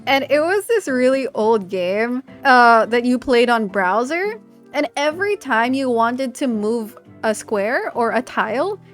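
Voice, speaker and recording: A woman's voice: fairly high pitch, fairly bright tone. A young woman from the Philippines, chatty and enthusiastic, reading out viewer questions and answering them in a casual voice-over. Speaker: gaming YouTuber.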